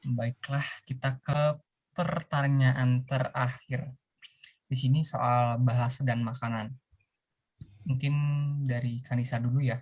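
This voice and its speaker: A man's voice: medium pitch, little pitch movement, takes it slowly, long pauses, a little mellow. A young man interviewing guests in a casual, friendly way. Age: young adult. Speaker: student interviewer.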